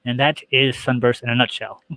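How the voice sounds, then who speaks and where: A man with a mid-pitched voice talks as a guest on a show. His delivery is conversational and explanatory, and the sound is dry with hardly any room on it. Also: level tone, brisk, sharp tone, dry recording. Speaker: guest, comic book creator. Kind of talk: casual interview talk.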